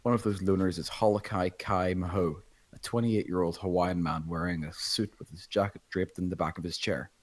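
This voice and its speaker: A man with a husky voice reading screenplay stage directions as scripted narration. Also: sits low, steady tone, fairly quick, few pauses. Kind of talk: scripted narration at table read.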